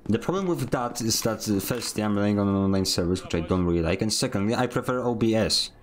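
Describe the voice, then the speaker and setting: Rambling jokey young streamer. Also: male voice, fairly low pitch. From Poland. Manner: rambling, jokey, fast asides to chat. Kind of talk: casual gaming stream chat.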